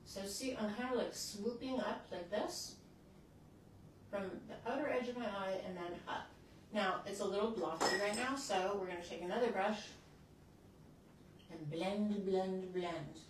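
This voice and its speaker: A woman's voice: mid-range voice, steady tone, measured pace, recorded from a distance, a little hiss or hum. Chatty sixties woman in an echoey room. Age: 60s. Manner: chatty, restarts sentences, talks while applying makeup. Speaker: beauty channel host. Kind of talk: casual makeup tutorial.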